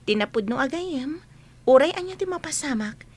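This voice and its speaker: A woman's voice: high-pitched, animated, normal speed. An actress playing an earnest, devoted young student girl in a radio drama. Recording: soft music under the voice.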